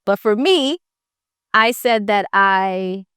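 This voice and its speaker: A young woman from Jamaica answers interview questions in an upbeat, motivational way. Her voice is smooth and clear, recorded dry and close with no room sound. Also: mid-range voice, slow, fairly bright tone, dry recording. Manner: upbeat, motivational, says "right?" often. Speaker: interview guest, entrepreneur. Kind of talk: casual interview.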